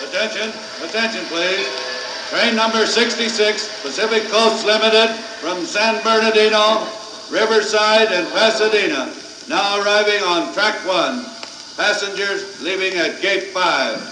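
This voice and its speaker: High-voiced, echoing old-time station announcer played by a man. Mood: formal, announcing. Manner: drawn-out, formal calling of stops. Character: formal railway station announcer calling trains. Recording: noisy, echoing old 78 rpm record playback.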